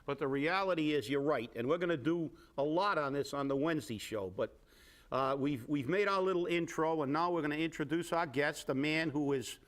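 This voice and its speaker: A male talk-show host with a somewhat high voice. He speaks haltingly, restarting sentences and filling pauses with "uh". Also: a bit bright. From Fall River, Massachusetts. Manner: halting, many fillers and restarts.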